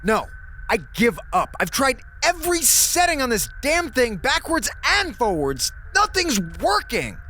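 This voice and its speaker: An actor playing an exasperated, sarcastic communications officer in an audio drama. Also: male voice, very high voice, vocal fry, exaggerated voice. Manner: excitable, sarcastic. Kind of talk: audio drama acting, naturalistic dialogue.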